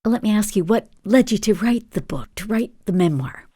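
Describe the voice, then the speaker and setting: A woman hosting a podcast interview, introducing her guest in a measured, gentle and formal way. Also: medium pitch, animated, husky, no room sound, clean studio sound. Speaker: podcast host.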